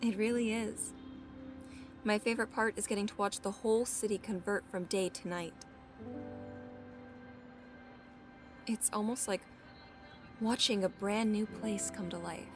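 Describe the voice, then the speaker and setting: A woman's voice: mid-range voice, fairly even intonation, normal speed, music in the background, close to an everyday voice. An actress playing an idealistic young woman in an audio drama, earnest and tender with a teasing streak. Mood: content, tender. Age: young adult. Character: idealistic, playful young woman, hopeful and affectionate.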